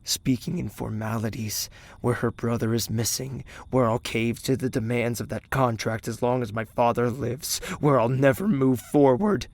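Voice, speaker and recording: A man plays a young royal heir in an audio drama. His voice has a gravelly creak and a soft, hesitant delivery. He comes across as pensive, gentle and unsure of himself. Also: mid-range voice, fairly even intonation, normal speed, few pauses, lightly acted. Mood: bitter, brooding.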